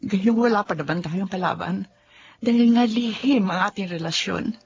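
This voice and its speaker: A woman's voice: medium pitch, moderate pace, vocal fry, slightly rough. A woman playing a mother hiding a secret love affair, defensive and guarded, also narrating in first person in a slightly muffled radio drama.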